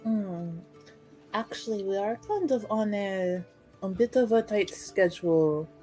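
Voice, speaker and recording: A woman playing a courteous, diplomatic noblewoman in a tabletop game, with measured, tactful phrasing. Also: mid-range voice, animated, warm tone, soft background music, lightly acted. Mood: politely evasive.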